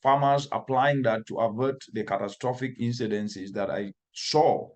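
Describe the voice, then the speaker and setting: A man's voice: mid-range voice, fairly even intonation, normal speed, darkish tone. Earnest, emphatic Cameroonian man in interview. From northwestern Cameroon. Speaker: interviewed environmental expert. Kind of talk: earnest interview answers, storytelling.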